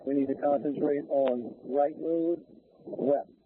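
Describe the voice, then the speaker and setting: A man's voice: fairly high pitch, steady tone, slow pace, slightly rough, dry recording. A man working as a fire supervisor, giving terse, procedural directions over a two-way radio. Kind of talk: terse emergency radio traffic. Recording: noisy narrow-band fire scanner radio audio.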